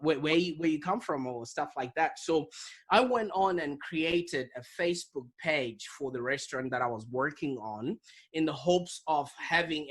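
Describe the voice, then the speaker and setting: Animated man telling a story. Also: a little high. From Zimbabwe. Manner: animated, vivid storyteller with repetitions. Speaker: guest, digital marketing business owner. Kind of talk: storytelling in an interview. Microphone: video call.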